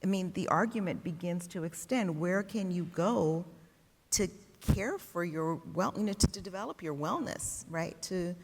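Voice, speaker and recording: A woman's voice: on the low side, normal speed. A woman planner on a panel, thinking aloud with fillers and rhetorical questions. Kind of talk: panel discussion. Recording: long reverb of a large hall.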